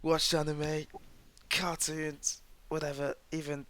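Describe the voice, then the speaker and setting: A man's voice: a little high, completely flat delivery, slow pace, a bit bright. A British man hosting a casual podcast chat with friends; he laughs often and stumbles into sentences. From Britain. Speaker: podcast host.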